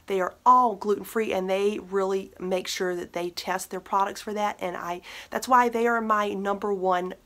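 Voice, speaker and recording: A woman's voice: mid-range voice, a bit bright. A woman in her thirties with a cold that makes her slightly nasal, chatting casually to the camera about makeup from her bedroom, upbeat and full of little fillers. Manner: chatty and upbeat, with many fillers and small laughs. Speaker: beauty vlogger. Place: bedroom, indoors. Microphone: camera at desk distance.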